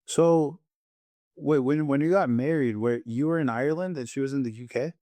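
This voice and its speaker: A man hosts a casual interview with a mid-range voice, neither high nor low. He fires off eager follow-up questions. The recording is close and dry. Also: normal speed, mellow, no room sound. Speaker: interview host. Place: in Hungary.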